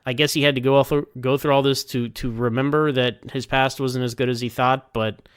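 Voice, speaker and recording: A man's voice: medium pitch, flat delivery, brisk, smooth, no room sound, clean studio sound. A man talking casually in a rambling, think-aloud way as he gives his review of TV episodes. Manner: conversational, hedging, thinking aloud.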